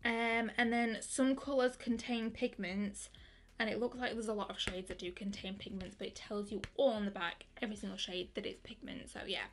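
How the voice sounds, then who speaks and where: A young woman in her twenties chatting excitedly through a makeup review, with lots of fillers and restarts. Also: medium pitch, normal speed. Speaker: beauty vlogger. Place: bedroom with colourful lighting.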